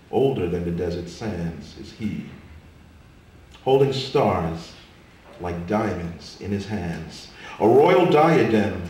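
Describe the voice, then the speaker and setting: A man performing spoken word poetry with a theatrical, emphatic delivery that swells and drops to a hush. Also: on the low side. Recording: echoey room from far off, faint noise.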